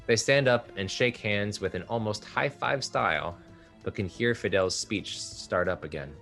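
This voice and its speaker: Man reading stage directions, steady and measured, very low voice, faint music behind. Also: vocal fry. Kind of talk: play table read narration.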